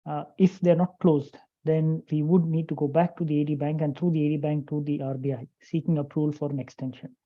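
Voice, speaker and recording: A guest on a business webinar over a video call, explaining compliance rules in a measured, careful way. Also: mid-range voice, little pitch movement. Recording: dull lo-fi call audio.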